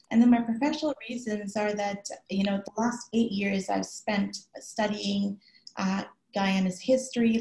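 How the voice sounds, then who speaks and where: A woman on a panel who studies history, speaking in a measured, academic way. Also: medium pitch, vocal fry, darkish tone, roomy sound, low-quality recording. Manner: measured, thoughtful, academic phrasing. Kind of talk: reflective panel remarks.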